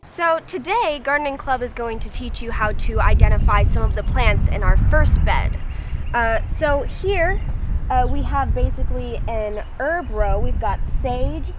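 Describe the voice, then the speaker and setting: A woman's voice: fairly high pitch, animated, a little slow, over music. Teenage girl listing garden plants outdoors. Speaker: gardening club student. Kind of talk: outdoor guided tour. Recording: dull sound with outdoor noise.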